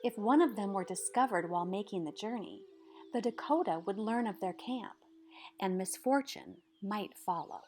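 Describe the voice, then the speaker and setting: A woman narrating a storybook aloud in a measured, expressive way, with dramatic pauses. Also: mid-range voice. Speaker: storybook reader. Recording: music behind the voice. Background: background music.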